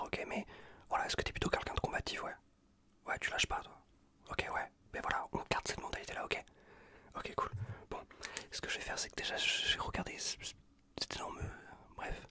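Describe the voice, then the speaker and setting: A man with a French accent from France whispers a casual, reassuring ASMR roleplay as a caring survivor tending a wounded stranger, breathy and close to the microphone. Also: sharp tone. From Bordeaux region, France. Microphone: close Blue Yeti microphone.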